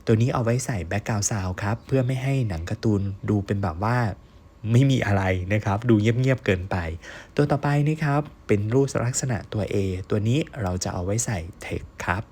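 A man teaching an online course as a step-by-step software tutorial, talking to learners in an encouraging, question-and-answer way. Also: lowish voice, normal speed, slightly rough. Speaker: online animation course instructor.

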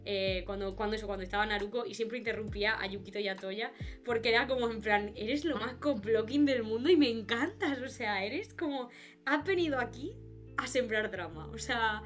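A woman's voice: a little high, normal speed, soft background music. A young woman from with a cold, chatting and laughing often on a video call. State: has a cold. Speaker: podcast co-host. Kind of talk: casual chat. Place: home room, indoors. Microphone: webcam on a video call.

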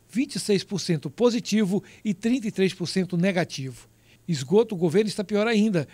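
High-voiced male news reporter, crisp delivery. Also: darkish tone, dry recording. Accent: Brazilian Portuguese. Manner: measured, reads out figures crisply.

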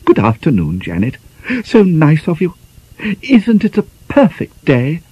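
A man's voice: on the high side, wildly swooping pitch, measured pace, warm tone, breathy edge, no room sound, lo-fi sound, voice pushed up, full character voice. A man voicing a fussy, flustered genteel lady in an audiobook, dithering and politely hesitant. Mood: gushing, polite. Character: fussy, flustered genteel spinster lady scolding her maid.

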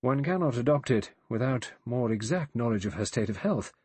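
A man's voice: mid-range voice, animated, moderate pace, darkish tone, slightly rough, dry recording. Man as a cautious, dry male doctor. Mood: dry, doubtful.

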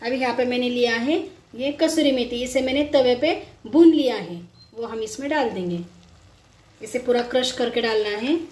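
A woman's voice: a little high, normal speed, darkish tone. A woman hosting a casual home recipe demonstration, explaining each step simply in instructional fashion. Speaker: home cook, cooking show host. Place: home kitchen, indoors. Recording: echoey room.

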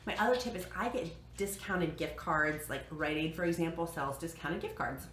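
A woman's voice: deep voice, normal speed, fairly bright tone. An American woman in her thirties chatting casually and emphatically to camera as a vlog host, sharing practical tips and repeating points for emphasis. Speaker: vlog host giving budget diet tips. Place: living room at home, indoors. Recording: echoey living room with background noise.

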